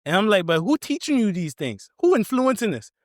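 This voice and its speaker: Teasing Bahamian podcast host. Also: male voice, sits high, big pitch swings, a little fast, dry recording, clean studio sound. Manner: teasing, laughs often. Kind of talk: casual podcast chat.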